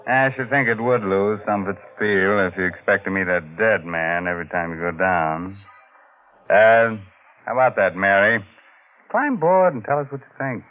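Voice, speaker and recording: A man's voice: on the low side, moderate pace, lightly acted. An actor playing a sardonic, macabre male host of a 1940s radio mystery, teasing and theatrical. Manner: playfully ghoulish, teasing, theatrical. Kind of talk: 1940s radio horror hosting. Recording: muffled, narrow-band old radio recording.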